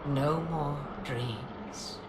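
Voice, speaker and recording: Slow, solemn ancient witch-goddess. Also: deep voice. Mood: solemn, gentle. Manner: slow, cryptic, portentous. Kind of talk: dramatic video game dialogue. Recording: distant, echoey, noisy game scene capture.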